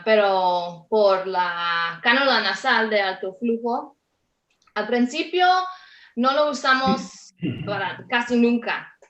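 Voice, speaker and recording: Slow, bright-voiced woman speaking non-native Spanish. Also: mid-range voice, muffled recording. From Canada. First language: not Spanish. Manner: halting, searching for words. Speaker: healthcare panelist. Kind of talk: unscripted panel answer. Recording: echoey room over online call.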